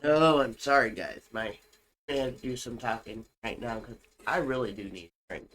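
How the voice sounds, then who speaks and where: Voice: mid-range voice, normal speed, fairly bright tone, slight background noise. A co-host of a casual home faith podcast, earnest and congested from a recent illness, reading scripture aloud. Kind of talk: casual religious chat podcast. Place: home room with pets.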